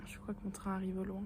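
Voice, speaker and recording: A woman talks casually to camera as a travel vlogger. Her pitch is average and her humor is dry and self-deprecating. The handheld recording carries clear background noise, with an ambient vehicle rumble.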